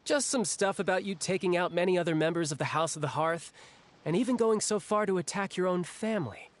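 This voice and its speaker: A man's voice: high-pitched, brisk, natural voice. A man voicing a friendly, frank, protective young adventurer in a video game, talking in a casual, candid way. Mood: sheepish, casual. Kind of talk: anime-style video game voice acting. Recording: music faintly behind the voice.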